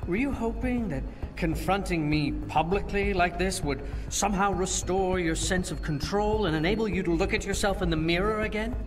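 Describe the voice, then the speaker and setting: Actor as high-voiced, patronizing politician in a reverberant hall. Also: male voice, close to an everyday voice. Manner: measured, condescending, rhetorical. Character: smooth, patronizing male doctor turned anti-augmentation politician. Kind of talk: dramatic video game acting, public oratory. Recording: long hall reverb, music underneath.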